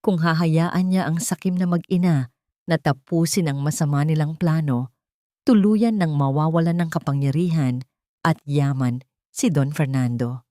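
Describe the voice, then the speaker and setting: A woman with a Filipino accent reads a scripted story in a dramatic narrating style. Her voice sits on the low side. The recording is dry and close, with very little room sound. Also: normal speed, warm tone, no room sound.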